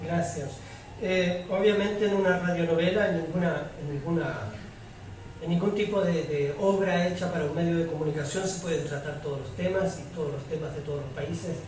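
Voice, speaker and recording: A male moderator speaks in a somewhat high voice, feeling his way through unscripted questions. He is heard from a distance in a very echoey room. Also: steady tone, moderate pace, darkish tone. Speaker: moderator of a public debate. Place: echoey room at a public debate. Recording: very echoey room, distant mic, faint noise.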